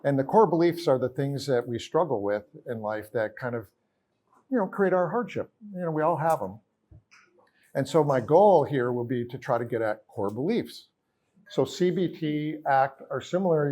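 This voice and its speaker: A man with a loud voice, demonstrating a therapy session in front of an audience and asking probing questions. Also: medium pitch, moderate pace.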